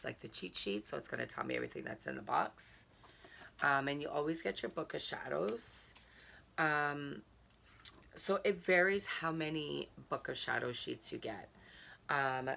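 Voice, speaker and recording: Hoarse woman, gravelly and bright, slow halting unboxing chat. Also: sits low, a little hiss or hum. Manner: halting, many fillers. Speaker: subscription box reviewer. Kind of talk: casual unboxing chat, reading a card aloud.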